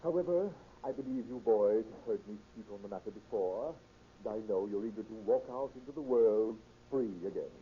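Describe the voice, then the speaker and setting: An actor playing a pompous, moralizing reform school superintendent in a 1930s radio drama, preachy and long-winded. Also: male voice, on the low side, fairly quick, lightly acted. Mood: genial. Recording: dull, noisy 1930s radio recording.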